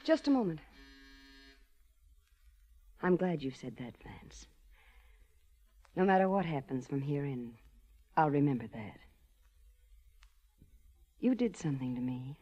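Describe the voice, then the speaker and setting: An actress playing a cool, seductive, commanding crime boss in a 1940s radio drama, poised and teasing. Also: female voice, low-pitched, big pitch swings, slow, pauses often, mellow, slightly rough, full character voice. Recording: dull, lo-fi 1940s radio recording.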